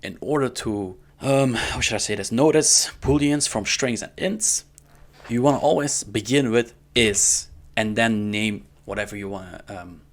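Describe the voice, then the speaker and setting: A man giving a casual, step-by-step coding tutorial in an informal way, often checking in with the listener, with a gravelly voice. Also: fairly low pitch, measured pace. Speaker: programming tutorial instructor. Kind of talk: casual screen-recorded coding lesson. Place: indoors at a computer.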